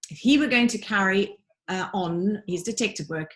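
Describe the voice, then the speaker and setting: A woman narrating a children's storybook like a teacher reading aloud, with dramatic, deliberate emphasis. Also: medium pitch, a bit bright, a little hiss or hum. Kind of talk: expressive children's audiobook narration.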